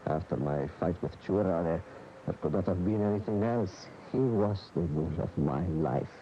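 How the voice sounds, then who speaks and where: An actor playing a troubled, world-weary bullfighter in a radio drama, halting and brooding. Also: male voice, low-pitched, lightly acted. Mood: reflective, intense. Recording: dull, noisy AM radio tape.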